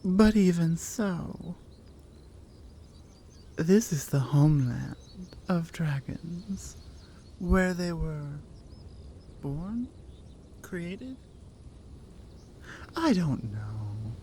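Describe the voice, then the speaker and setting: Actress as teasing Nordic warrior woman, ASMR audio drama. Nordic accent, mellow and slow, playfully dry and doubting. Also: fairly low pitch, very animated, slight background noise, exaggerated voice. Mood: proud, earnest. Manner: playful, dry, conversational with pauses. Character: strong, teasing, skeptical female Nordic warrior.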